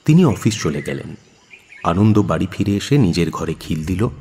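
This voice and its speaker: A husky male narrator with a low voice and lively, swinging intonation. He tells a scripted story dramatically, with long reverb around him. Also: normal speed, slight background noise.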